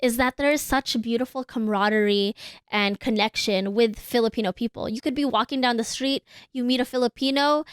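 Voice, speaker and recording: A young adult woman co-hosting a podcast in casual chat, animated, swearing freely and acting out quotes. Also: on the high side, a little fast, clean tone, no room sound, clean studio sound. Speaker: podcast co-host.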